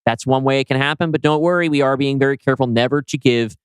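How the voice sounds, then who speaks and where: A man's voice: mid-range voice, fast talker, clear, resonant tone, no echo. A man co-hosting a podcast in a casual, chatty style. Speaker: podcast co-host. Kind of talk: casual chat.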